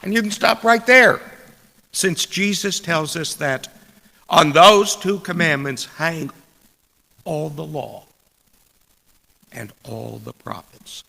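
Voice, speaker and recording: A man delivering a scripted church sermon with deliberate, emphatic phrasing and dramatic rhetorical pauses. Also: fairly high pitch, creaky, pushed and bright. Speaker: preacher reading a sermon. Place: large indoor church space. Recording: echoey hall with long reverb.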